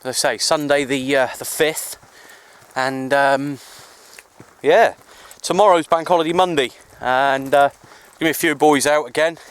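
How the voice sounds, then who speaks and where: A man with a clear, fairly light-pitched voice talks to his handheld camera outdoors in the countryside. He is easygoing and chatty, rambling along like a hobbyist making a casual vlog. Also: measured pace. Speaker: amateur CB radio hobbyist vlogger.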